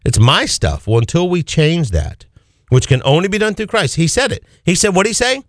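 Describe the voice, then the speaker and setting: A man's voice: fairly high pitch, sing-song, normal speed, dry recording, studio quality. A man preaching an Easter sermon as pastor, pressing his points with swinging emphasis and repeated phrases.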